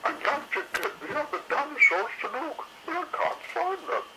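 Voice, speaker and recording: A man voicing a fussy, grumbling female dinosaur in a high falsetto. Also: very animated, moderate pace, exaggerated voice. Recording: noisy recording.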